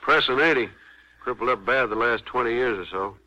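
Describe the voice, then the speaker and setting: An actor playing a folksy, plain-spoken county sheriff in a 1950s radio western, with drawn-out country phrasing. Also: male voice, medium pitch, sharp tone. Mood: unhurried, grave. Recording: dull vintage 1950s radio recording.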